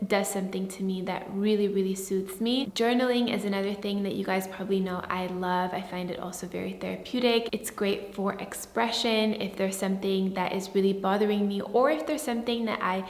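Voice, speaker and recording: A young woman from Sweden, a native Swedish speaker, talking in a soft, earnest way and often saying "like" while she answers viewer questions. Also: mid-range voice, steady tone, brisk, hardly any pauses. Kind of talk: casual Q&A to camera. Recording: echoey room with long reverb.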